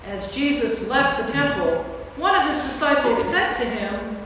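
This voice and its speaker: A woman's voice: high voice, expressive, unhurried, fairly bright tone. A woman narrating a scripted scripture story as part of a staged reading. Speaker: narrator, priest. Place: large reverberant church. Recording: echoey church, distant mic, faint noise.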